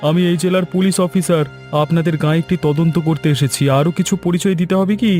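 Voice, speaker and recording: A man voicing a calm, commanding district police officer in a cartoon folk tale, assured and authoritative. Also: on the high side, brisk, soft background music, lightly acted. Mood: assertive. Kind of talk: animated folk tale voice acting.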